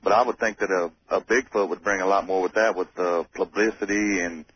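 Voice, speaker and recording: A man calling in to a radio show, drawling and skeptical, speaking haltingly. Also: low voice, fairly even intonation, breathy edge. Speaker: caller. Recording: phone-in call on dull radio.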